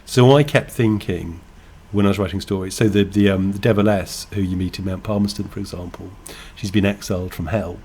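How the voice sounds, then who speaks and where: An English man co-hosting a podcast, talking thoughtfully in halting, restarting sentences. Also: low voice, fairly even intonation, darkish tone, slight background noise. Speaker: podcast co-host, game designer. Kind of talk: casual chat.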